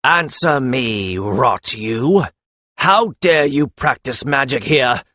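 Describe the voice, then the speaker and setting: A man's voice: lowish voice, a little slow. Casting: man to play an enraged, suspicious lord magus for a fantasy audiobook, snarling and bullying. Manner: shouting, snarling. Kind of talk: audiobook character voice.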